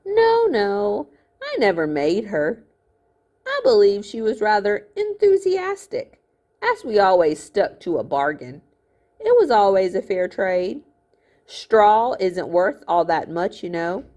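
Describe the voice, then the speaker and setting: A woman's voice: medium pitch, sing-song, takes it slowly, darkish tone, voice pushed up. A woman voicing a greedy, fat older miller in a storybook, a smug villain with a falsely genteel, gloating manner. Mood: smug, mocking.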